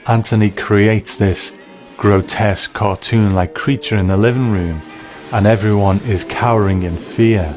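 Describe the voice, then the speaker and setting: Male essay narrator, very low pitch, measured and analytical, music underneath. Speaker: narrator and film critic. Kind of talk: scripted video essay commentary.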